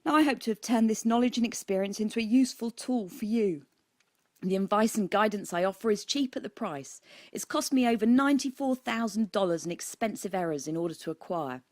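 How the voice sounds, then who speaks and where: A British woman reads her own book as an audiobook narrator. Her tone is mellow and relaxed, at a middling pitch for a woman. The sound is dry, with very little room around the voice. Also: a little fast, dry recording. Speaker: author reading own audiobook.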